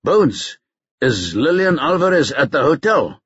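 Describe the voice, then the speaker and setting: A man voicing an urgent male police captain giving brisk orders. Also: a little high, sing-song, fairly bright tone, husky, lo-fi sound, pitched above the performer's own voice, heavily acted.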